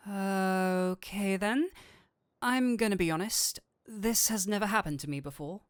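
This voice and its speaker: Guy doing a flirty nerd incubus for audio roleplay, slow n coaxing, playful, really dry close sound. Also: high-pitched, clean tone, no echo. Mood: flustered. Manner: coaxing, playful, theatrical switches between seductive and flustered. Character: flirtatious, nerdy male incubus demon, teasing but kindly.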